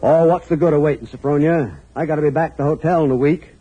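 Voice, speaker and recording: An actor playing a folksy but sinister New England hotel keeper with a clipped drawl, in a 1940s radio thriller style. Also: male voice, a little high, steady tone, quick pace, mellow, faint music behind, natural voice. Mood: eager, insistent. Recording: muffled old radio recording.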